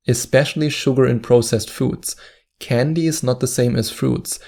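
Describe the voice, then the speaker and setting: A man's voice: medium pitch, vocal fry, dark tone. A man with a German accent, hosting a weight-loss advice video and repeating his key points with earnest emphasis. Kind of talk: direct-to-camera advice talk.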